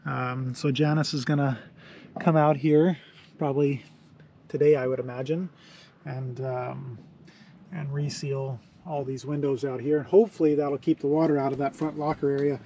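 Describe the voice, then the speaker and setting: A man on a boat, explaining casually and haltingly to camera with many pauses and fillers. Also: medium pitch, little pitch movement, dark tone, dull audio, slight background noise. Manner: halting, lots of ums and uhs. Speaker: boat-living vlogger.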